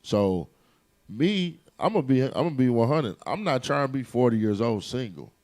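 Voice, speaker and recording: A man's voice: medium pitch, animated, normal speed, a little mellow. A man in his late thirties talking frankly and at ease in a group chat, often checking in with "you see what I'm saying". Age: 30s.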